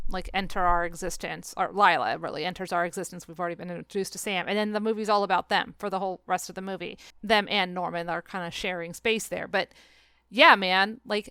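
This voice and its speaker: A woman co-hosting a podcast in casual chat, with an animated, sarcastic manner and a readiness to swear. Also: mid-range voice, smooth, no room sound, studio quality. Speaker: podcast co-host. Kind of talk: casual podcast chat about a film and book.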